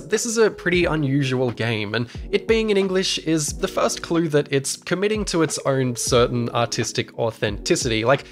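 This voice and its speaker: Australian man, young, fairly high voice, dry wry humor, scripted narration, faint music behind. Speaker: YouTube host. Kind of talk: scripted video essay narration.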